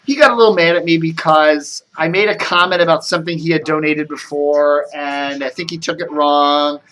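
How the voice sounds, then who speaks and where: An American man with a slightly high-pitched voice chats casually to camera. He meanders and thinks aloud, easily sidetracked. The home recording has noticeable noise and clicks. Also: fairly even intonation, moderate pace, pushed and bright. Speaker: video blogger. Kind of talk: casual rambling chat to camera. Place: indoors at home.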